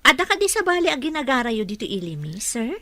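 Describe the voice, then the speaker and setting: Bright, high-voiced young provincial woman. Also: sing-song, fast, exaggerated voice. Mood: polite, surprised. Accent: Ilocano. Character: humble young provincial woman employee, polite to her boss. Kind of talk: Ilocano radio drama acting.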